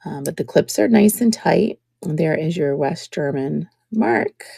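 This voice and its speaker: Slow, gravelly-voiced woman host. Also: deep voice, animated, no room sound, studio quality. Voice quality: scratchy. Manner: slow, chatty, drops to soft whispers while showing pieces. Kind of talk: casual live-stream selling chat.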